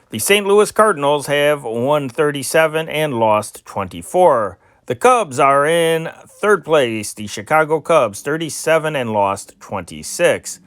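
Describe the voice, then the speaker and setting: A man hosting an amateur reading of vintage newspaper news and listings, with a halting delivery that stumbles over names. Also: fairly high pitch, a bit bright, clean tone, dry recording, clean studio sound.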